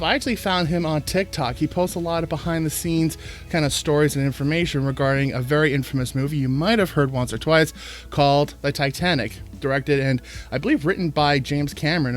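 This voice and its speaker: An upbeat male YouTube host greets his guest and asks questions with plenty of enthusiasm. His voice is a little high for a man, with soft music in the background. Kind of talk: interview hosting.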